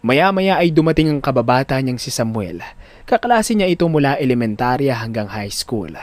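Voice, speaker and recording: A man's voice: mid-range voice, sing-song, normal speed, no echo. A man narrating a scripted audio drama in a dramatic storytelling style.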